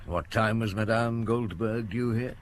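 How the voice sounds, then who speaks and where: A man playing a low-voiced, probing chief inspector. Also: slight background noise, close to an everyday voice. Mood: brisk. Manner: measured, clipped, politely probing questioning. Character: terse, courteous, probing male police chief inspector. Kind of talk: audio drama dialogue.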